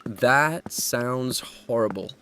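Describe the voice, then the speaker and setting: A man's voice: mid-range voice, very slow, bright, forceful tone, slight background noise. A man playing a frightened, naive young man in a horror audio drama, hesitant and anxious. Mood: uneasy. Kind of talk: horror audio drama acting with first-person narration.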